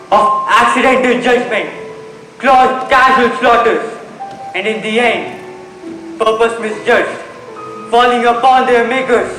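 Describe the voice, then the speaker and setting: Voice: medium pitch, pushed and bright. A performer playing a solemn, grieving loyal friend in stage Shakespeare, in a very echoey hall. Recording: very echoey hall, long reverb, music behind.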